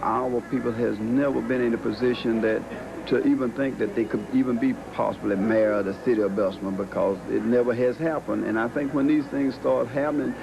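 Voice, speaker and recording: A man's voice: mid-range voice, fairly even intonation, fairly quick, gravelly, crowd noise. A man in his forties giving an unscripted news interview as a local candidate. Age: 40s. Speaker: election candidate being interviewed. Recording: dull audio, busy street din.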